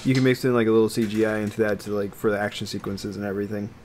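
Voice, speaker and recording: A man's voice: fairly low pitch, fairly even intonation, very fast, darkish tone, slight background noise. A man co-hosting a podcast, talking in casual, conversational chat. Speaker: podcast co-host.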